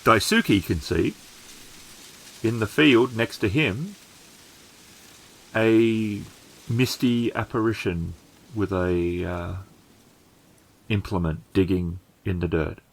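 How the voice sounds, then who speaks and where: Slow, gravelly male game master. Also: on the low side, low-quality recording. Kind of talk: improvised tabletop game narration.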